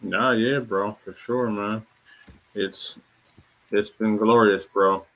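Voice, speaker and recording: A man's voice: lowish voice, fairly even intonation, slow, a bit bright, rough recording. A man hosting a casual livestream, talking in a laid-back, slangy way and hyping up his guest. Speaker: livestream host.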